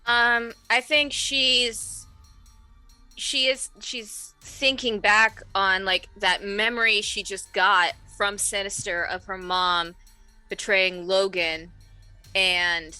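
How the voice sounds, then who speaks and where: A woman playing a tabletop roleplaying game, chatting casually and describing her character in an expressive way. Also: mid-range voice, takes it slowly, clear, resonant tone, faint music behind, rough recording.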